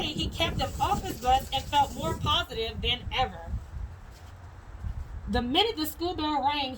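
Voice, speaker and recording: A woman with a high-pitched voice narrates a children's storybook. She reads aloud as a teacher does for pupils. The recording carries faint noise.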